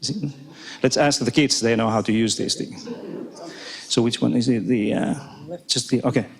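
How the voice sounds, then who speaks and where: A man's voice: medium pitch, moderate pace, gravelly, poor audio. A man with a Finnish accent, a native Finnish and Swedish speaker, giving a chatty, hesitant keynote talk to a live audience and laughing often. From Finland. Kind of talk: conference talk. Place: conference hall with live audience.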